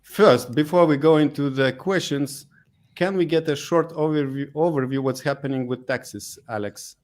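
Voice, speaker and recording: A man's voice: fairly high pitch, moderate pace, a little mellow. A man hosting a live online question-and-answer session, talking casually with lots of fillers and restarts. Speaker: webinar host.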